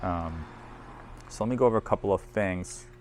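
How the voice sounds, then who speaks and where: A man's voice: sits low, moderate pace, a little mellow, a little hiss or hum. A man presenting audio equipment in a casual hands-on demo, informal and thinking aloud as he handles the machine.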